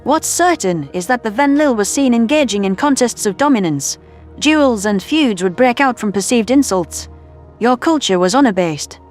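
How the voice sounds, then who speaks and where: Scientist role, female actor: slightly high-voiced woman, earnest, sober explainer, light music underneath. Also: animated, moderate pace, natural voice. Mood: sober, sympathetic.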